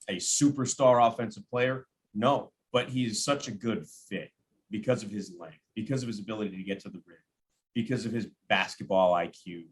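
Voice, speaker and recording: Emphatic male basketball analyst over noise. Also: lowish voice. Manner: emphatic, repeats phrases, acts out quotes. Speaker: sports radio play-by-play announcer, podcast guest. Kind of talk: animated basketball analysis in casual conversation.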